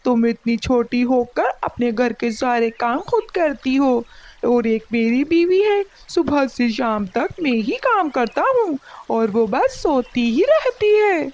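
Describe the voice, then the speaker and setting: Casting: a woman as cartoon crow husband, hardworking and fed up, highish voice, unhurried, emphatic complaints. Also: animated, darkish tone, muffled recording, full character voice. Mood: grumbling. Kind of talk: Hindi animated moral story dialogue.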